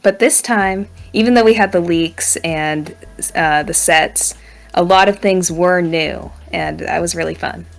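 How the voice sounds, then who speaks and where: A woman's voice: fairly low pitch, unhurried, vocal fry. A woman chatting informally and self-deprecatingly in a group podcast. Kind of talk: casual group chat.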